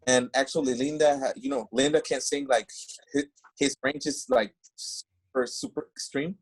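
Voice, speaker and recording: A man, a band singer guesting on a podcast, talking casually and haltingly as he searches for English words. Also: medium pitch, steady tone, slightly rough, rough recording. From Mexico. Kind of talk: casual interview chat.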